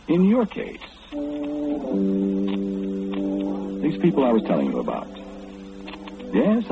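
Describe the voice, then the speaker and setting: Old radio drama role: omniscient, formal gentleman, low pitch with very lively swings, precise and unruffled. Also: creaky, full character voice. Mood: knowing, composed. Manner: formal, fussy, precise, matter-of-fact. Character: know-it-all gentleman who calmly knows everything. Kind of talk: old-time radio play acting. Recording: dull, noisy old radio recording.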